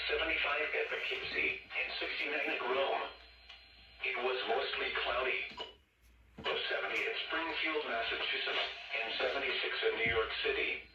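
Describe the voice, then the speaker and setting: A man's voice: mid-range voice, muffled recording. A synthetic American man's voice reading a scripted weather bulletin formally, played back through a radio speaker. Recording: roomy, distant playback from radio speaker.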